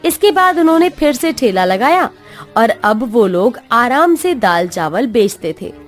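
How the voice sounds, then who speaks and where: A woman narrating a cartoon moral story in an expressive, sing-song way. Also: a little high, unhurried, soft background music.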